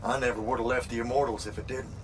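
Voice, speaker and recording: An actor playing a blunt veteran battlemage mentor in a video game, dry and colloquial in clipped phrases. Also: male voice, flat delivery, fast talker, background noise, close to an everyday voice. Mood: grave, wry. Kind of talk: dramatic video game acting. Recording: loud rumbling ambience behind the voice.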